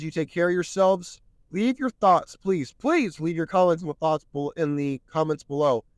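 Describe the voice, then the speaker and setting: A man talks alone into the mic in an earnest, sermon-like way, his slightly high voice starting to wear out and go hoarse. The sound is dry and close, with no room around it. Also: no echo. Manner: earnest, preachy, repeats "my friends". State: losing his voice. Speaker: podcast host. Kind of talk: solo podcast monologue.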